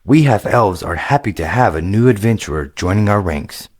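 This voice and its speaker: A man voicing a courteous, formal half-elf greeter with a measured, grave way of speaking in a storybook reading. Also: lowish voice, lively intonation, no room sound, clean studio sound, voice pushed up.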